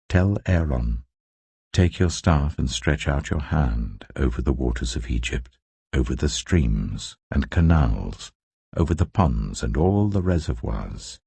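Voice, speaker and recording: Very deep, husky man narrating scripture. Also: mellow, no echo. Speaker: audio Bible narrator.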